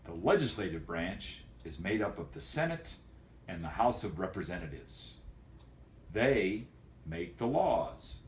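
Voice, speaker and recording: A man speaking as a teacher and host of a homemade video for students, in a folksy, wordy, deliberate way. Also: low-pitched, very animated, reverberant, a little hiss or hum. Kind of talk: casual educational vlog for students, with read-aloud.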